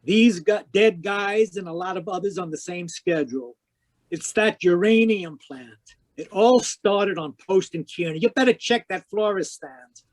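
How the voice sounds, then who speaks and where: A cynical, hard-boiled private detective, played by a man in a somewhat high voice. He delivers wisecracks deadpan, in the manner of a 1940s radio noir drama. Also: unhurried. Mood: sardonic.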